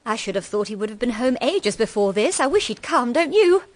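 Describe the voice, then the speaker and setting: A woman's voice: on the high side, very animated, a little fast. An actress playing a naive, cheerful, sheltered young woman in a period radio drama, with a girlish, upper-class English manner. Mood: unsettled. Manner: gushing, girlish.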